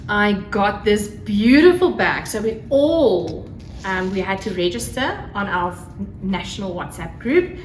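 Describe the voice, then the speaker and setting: A woman's voice: medium pitch, sing-song, unhurried. A South African woman whose home language is Afrikaans, chatting casually and gushingly as the host of a solo craft podcast. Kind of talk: casual solo vlog chat. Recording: roomy echo, faint background noise. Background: crackling fire, faint noise.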